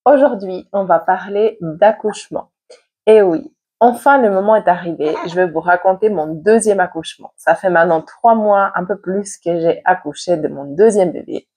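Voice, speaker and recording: A woman's voice: fairly low pitch, moderate pace, dark tone, slightly rough. A woman, stuffy with a head cold, telling a personal story to camera at home in a chatty, candid way, with many hesitation fillers. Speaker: vlogger and mother. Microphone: external mic plugged into a camera.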